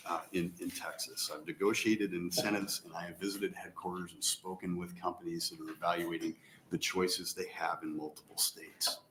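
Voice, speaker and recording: A man from Nebraska, a chamber of commerce president, giving measured, earnest formal testimony at a legislative hearing with frequent pauses. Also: low-pitched, fairly bright tone, a little hiss or hum, poor audio.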